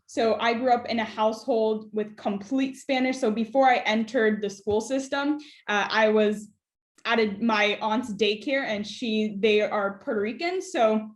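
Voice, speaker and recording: Young female student guest, higher pitch, easy and thoughtful with fillers, roomy echo. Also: a little slow. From United States. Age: young adult. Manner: thoughtful, many "uh" and "um" fillers, light laughs. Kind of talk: conversational interview.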